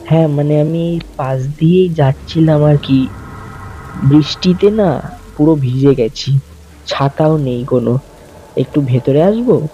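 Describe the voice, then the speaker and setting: A man's voice: on the high side, measured pace, pauses often, mellow, muffled recording, slight background noise, lightly acted. A man voicing a young woman ghost who coaxes her way in and then turns vengeful and menacing. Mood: coaxing. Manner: coaxing, turning menacing.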